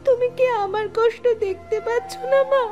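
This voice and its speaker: A woman's voice: extremely high pitch, normal speed, a little mellow, lo-fi sound, soft background music. A woman playing a sweet, devoted young village daughter in a cartoon, speaking earnestly and tenderly. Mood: grieving, pleading. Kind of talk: Bengali cartoon voice acting.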